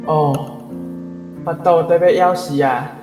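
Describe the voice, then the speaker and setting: A man's voice: a little high, fairly even intonation, takes it slowly, music in the background. A man with a Taiwanese accent playing a ravenous schoolboy in a radio drama, exclaiming to himself. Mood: hungry, worn out. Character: ravenous, self-pleased schoolboy, older brother.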